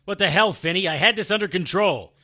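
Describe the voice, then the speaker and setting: A man's voice: high voice, a little fast, very noisy, close to an everyday voice. An actor playing a wry, impulsive, tough detective who narrates his own story in an audio drama. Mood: urgent, exasperated. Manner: wry, hard-boiled. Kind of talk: first-person audio drama narration and acting.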